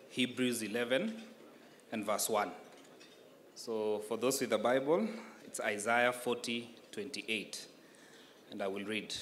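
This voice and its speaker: A man reading scripture aloud formally, in a deliberate and measured manner. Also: mid-range voice, a bit bright. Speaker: scripture reader at a prayer breakfast.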